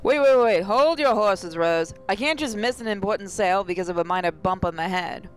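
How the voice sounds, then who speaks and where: A woman's voice: medium pitch, brisk, soft background music. A woman hosting a gaming channel, reading a visual novel aloud with playful, sarcastic asides.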